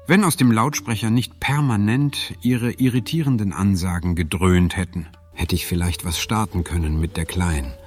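A man acting as an awkward male therapy client in a radio play, with a low voice. He speaks hesitantly and keeps justifying himself, with music in the recording. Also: steady tone, moderate pace, few pauses, natural voice. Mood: sheepish, defensive. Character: defensive, lonely male dream-therapy client, awkward romantic. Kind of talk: German audio drama dialogue.

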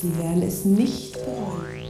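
A woman's voice: on the low side, unhurried, creaky. A woman playing a pushy, scornful gadget seller in a satirical radio play, insistent and condescending. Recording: very echoey, distant, music behind.

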